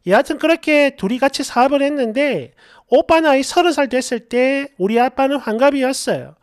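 A man's voice: extremely high pitch, brisk, no echo, studio quality. A middle-aged man hosting a story channel, reading a first-person story aloud in a colloquial, exasperated, dramatized way with asides.

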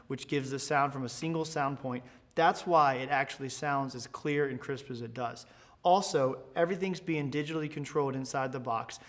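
Higher-pitched American man presenting a tutorial. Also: a bit bright. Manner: explanatory, promotional. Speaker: presenter, product education manager. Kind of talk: instructional product tutorial. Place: large indoor school band room.

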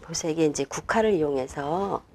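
한 중년 여성 꽃꽂이 강사가 실내 스튜디오에서 작업을 하며 설명하고, 말끝에서 자주 망설이며 혼잣말처럼 속삭이듯 말해요. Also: female voice, deep voice, darkish tone, a little hiss or hum. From Korea. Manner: unhurried, talks while working, many fillers and half-whispered asides. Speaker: flower arrangement instructor. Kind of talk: demonstration lesson while working. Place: indoor studio with a flower table.

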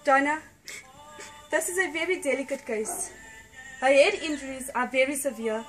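A woman as a high-voiced, solemn puppet doctor. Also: normal speed, soft background music, lightly acted, poor audio. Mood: grave. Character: puppet doctor, grave, gently breaking bad news. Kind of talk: amateur puppet-show acting.

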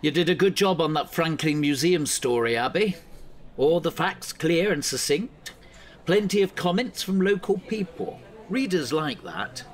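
Avuncular veteran newspaper editor. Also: male voice, fairly high pitch, animated, a little slow. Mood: encouraging, chatty. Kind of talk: audio drama acting.

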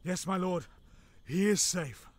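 A man's voice: high-pitched, slow pace. A man playing an urgent, loyal soldier bringing word, out of breath, in an audiobook. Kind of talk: dramatised audiobook dialogue.

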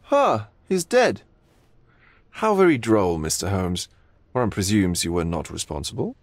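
An actor playing a languid, flamboyant art lover in a video game, speaking with arch theatrical drawl. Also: male voice, lowish voice, big pitch swings, measured pace, dry recording. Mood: arch amusement. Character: droll, languid, flamboyant male art aesthete. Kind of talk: video game cutscene acting.

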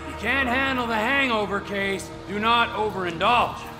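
An actor voicing a manic, mocking middle-aged North American criminal in a video game, theatrical and menacing. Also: male voice, high voice, moderate pace, lightly acted. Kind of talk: video game cutscene acting. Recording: music and vehicle noise behind.

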